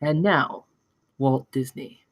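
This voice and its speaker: A man's voice: medium pitch, takes it slowly, close to an everyday voice. A man playing a grand announcer of a 1960s promotional film, in scripted announcer delivery.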